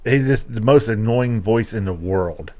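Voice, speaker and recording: A man's voice: on the low side, unhurried, darkish tone. A man co-hosting a casual podcast chat, chiming in with short nostalgic remarks. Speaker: podcast co-host.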